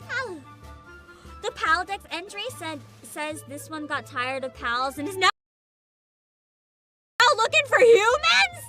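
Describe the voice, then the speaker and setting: Female VTuber host, very high-pitched and bright, animated and expressive casual chat over background music. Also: slow pace, long pauses. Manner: giggly, excitable, expressive.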